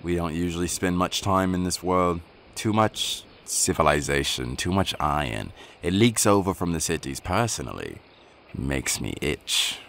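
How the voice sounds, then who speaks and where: A man playing a gruff, imposing otherworldly fairy with a gravelly voice in a radio play. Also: deep voice, lively intonation, unhurried, a bit bright, slight background noise, heavily acted. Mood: dry, grumbling. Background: faint ambience.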